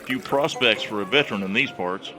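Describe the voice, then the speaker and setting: A man's voice: fairly low pitch, fairly quick, crowd noise, noisy background, lightly acted. A man voicing an old frontier barman and veteran, terse and wry, with a laconic, dry drawl, in a western fantasy audio drama. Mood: wary. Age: older.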